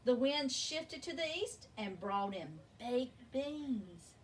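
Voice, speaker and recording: A woman reading a children's picture book aloud in an expressive, deliberate way, drawing out words for emphasis. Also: on the high side. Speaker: storybook reader. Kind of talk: read-aloud children's story narration. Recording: distant mic with faint background noise.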